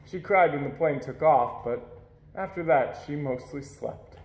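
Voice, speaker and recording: A gentle, patient storybook father, read by a man, talks calmly and reassuringly. His voice is slightly high, with a noticeable room echo around it. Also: measured pace. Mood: gentle, pleased.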